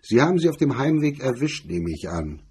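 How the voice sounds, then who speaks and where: A hard, grim gunman from a crime audiobook, voiced by a male reader. He speaks bluntly and cynically in a dark, very husky voice. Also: medium pitch, big pitch swings, brisk, voice pushed up. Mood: urgent, blunt.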